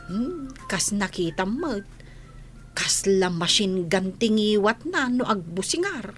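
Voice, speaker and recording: A woman's voice: medium pitch, big pitch swings, slow, faint music behind. A woman with an Ilocano accent playing a teasing, gossipy housemaid in a radio comedy drama. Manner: teasing, drawling, giggly.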